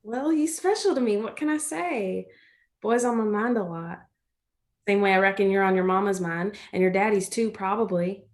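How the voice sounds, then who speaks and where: A woman performs a loyal country girl in a stage drama, her voice at a middle pitch. She is folksy and direct, gently prodding and coaxing her friend to talk. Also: moderate pace, close to an everyday voice. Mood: teasing, frank. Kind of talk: stage play acting.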